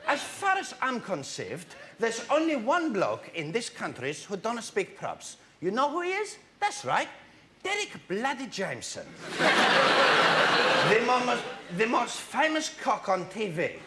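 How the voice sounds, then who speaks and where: A man's voice: very high-pitched, huge pitch swings, slow, sharp tone, exaggerated voice. An actor playing a cheeky, grumbling Greek kebab shop owner with East London slang in a stand-up comedy monologue, mangling his English. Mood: mock indignant, then exasperated ranting. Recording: live stage, very noisy recording.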